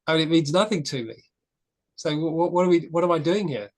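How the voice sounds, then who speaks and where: A man's voice: a little high, brisk, darkish tone. A man in a casual church discussion group, talking frankly and telling a story with restarts.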